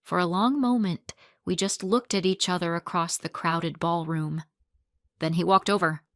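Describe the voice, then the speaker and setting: A woman narrating a first-person story in a calm, even storytelling manner. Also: on the low side, normal speed, dry recording, clean studio sound.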